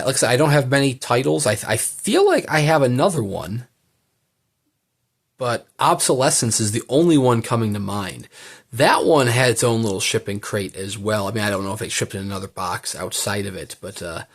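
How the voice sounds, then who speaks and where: A man's voice: on the low side, moderate pace. A man giving a casual unboxing commentary, enthusiastic and rambling as he thinks aloud. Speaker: book collector presenting an unboxing.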